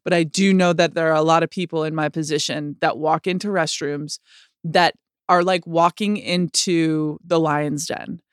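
A woman with a lower voice than most women, speaking candidly in a conversation with many likes and restarts. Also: fairly even intonation, normal speed, clean tone, no room sound, clean studio sound. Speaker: guest sharing her experience.

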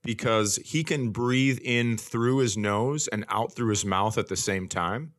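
A man co-hosting a comedy podcast with casual, teasing banter and quick interjections. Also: lowish voice, fairly bright tone.

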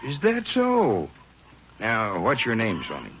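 An actor playing a friendly, folksy rancher in a 1950s radio western, with an avuncular drawl. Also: male voice, fairly low pitch, wildly swooping pitch, slow, fairly bright tone, heavily acted. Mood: genial, curious. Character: folksy, friendly unshaven rural Texas rancher. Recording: dull, noisy old 1950s radio transcription.

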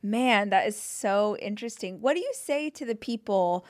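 A woman's voice: medium pitch, animated, normal speed, dry recording, studio quality. Enthusiastic woman podcast host. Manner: enthusiastic, reflective interviewer. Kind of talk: conversational interview.

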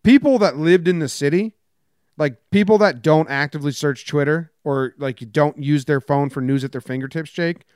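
A sarcastic man co-hosting a casual sports podcast, his voice pitched a touch high and very clean. He rattles off quirky headlines with deadpan jokes. The studio sound is close and dry. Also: moderate pace, clean tone, no echo. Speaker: podcast co-host. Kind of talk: casual sports chat and jokey news rundown.

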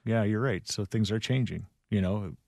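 A man's voice: lowish voice, moderate pace, creaky, dark tone, dry recording, studio quality. A man hosting a casual radio talk show, chatting conversationally. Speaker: radio and podcast host. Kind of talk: casual morning radio chat.

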